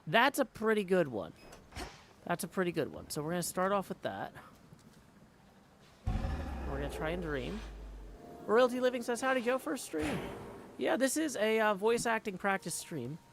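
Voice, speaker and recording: A man hosting a live game stream in a casual, rambling way, with a creaky voice. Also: high-pitched, very animated, normal speed, pauses often, background noise. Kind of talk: casual livestream chat.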